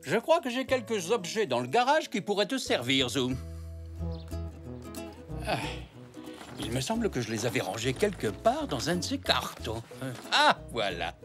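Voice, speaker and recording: A man's voice: on the high side, huge pitch swings, unhurried, music in the background. A man voicing a kindly, encouraging zebra grandfather in a children's cartoon, with an indulgent manner. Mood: helpful. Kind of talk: children's cartoon dub acting.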